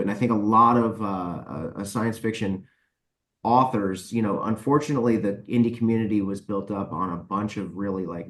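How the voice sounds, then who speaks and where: A man's voice: sits low, fairly bright tone. A man speaking casually and emphatically as an author and publisher guest in a podcast panel discussion. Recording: roomy echo on voice.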